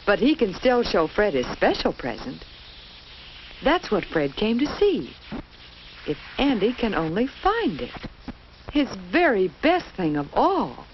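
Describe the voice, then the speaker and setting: A woman's voice: medium pitch, wildly swooping pitch, a little slow. A woman narrating a children's educational film in a scripted storytelling style, with simple instructive phrasing and questions put to young viewers. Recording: dull lo-fi old film soundtrack, faint hiss.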